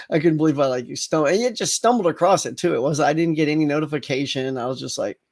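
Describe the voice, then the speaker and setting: A middle-aged man hosts a relaxed live morning chat, his voice sitting a touch high. He sounds a little congested and rambles along, restarting sentences and tossing in plenty of fillers. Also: a little fast. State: slightly congested. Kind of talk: casual live-stream chat with viewers.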